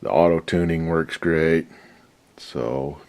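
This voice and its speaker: A man's voice: rumbling low, slow, creaky, darkish tone. A man restoring an old radio at his workbench, giving rambling, folksy commentary with a lot of swearing. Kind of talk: casual workshop commentary.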